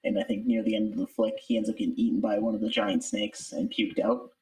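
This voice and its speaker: A man's voice: fairly low pitch, fast, vocal fry, darkish tone, low-quality recording. A man being interviewed in a casual chat, speaking in a hesitant, thoughtful way. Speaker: interview guest, amateur voice actor. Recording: music playing behind. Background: background music.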